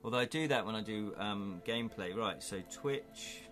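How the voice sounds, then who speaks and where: A man with an English accent hosting a casual live stream, chatty and excitable, chuckling often as he unboxes things at home. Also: lowish voice, normal speed, over music. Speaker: livestream host.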